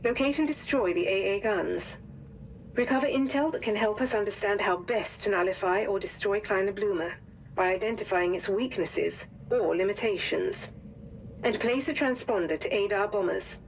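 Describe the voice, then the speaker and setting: An actress playing a resolute female wartime intelligence officer, delivering a formal, measured mission briefing in a video game. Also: mid-range voice, gravelly, natural voice, rough recording. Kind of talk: scripted mission briefing narration. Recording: faint background noise.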